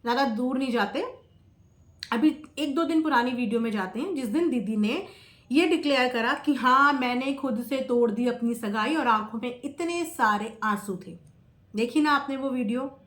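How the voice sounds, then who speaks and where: A woman's voice: high voice, moderate pace, fairly bright tone. A woman giving opinionated, casual commentary to viewers, emphatic, repeating phrases and asking rhetorical questions. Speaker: reaction video creator. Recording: echoey, roomy sound.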